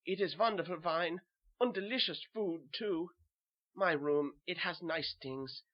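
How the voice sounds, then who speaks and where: A man's voice: a little high, unhurried, muffled recording, voice pushed up, natural voice. A man playing a weary, haunted German soldier who narrates a horror tale in simple short sentences, with a German accent. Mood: subdued. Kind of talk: first-person horror audio narration.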